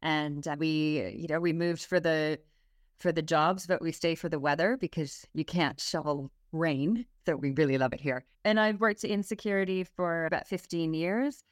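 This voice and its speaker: Upbeat Canadian woman, low voice. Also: normal speed, no echo, clean studio sound. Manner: upbeat and chatty, with small fillers. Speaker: guest security specialist. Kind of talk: podcast interview.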